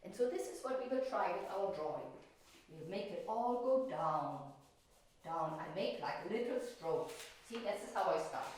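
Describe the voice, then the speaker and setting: A woman's voice: mid-range voice, animated, moderate pace, a little mellow, a little hiss or hum. A woman in her sixties, an art teacher and storyteller, explaining things simply as if to young children in a classroom. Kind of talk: children's storytelling and painting lesson. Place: wooden-floored classroom, indoors. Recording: very echoey room, distant mic.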